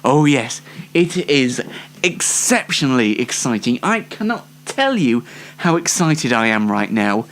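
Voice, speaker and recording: A man's voice: medium pitch, very animated, measured pace, a bit bright. A twenty-year-old British man presenting an informal solo online show in a dry, tongue-in-cheek, rambling way.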